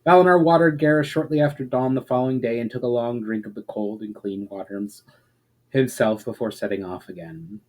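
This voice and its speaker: A man reading a fantasy story aloud as a narrator, in a measured, expressive storyteller's manner. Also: mid-range voice, low-quality recording. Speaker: author reading fiction aloud.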